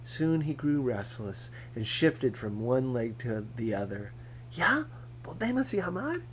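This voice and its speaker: A man reading a novel aloud as scripted narration, in a measured, deliberate manner. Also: mid-range voice, a little mellow, slight background noise. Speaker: audiobook reader.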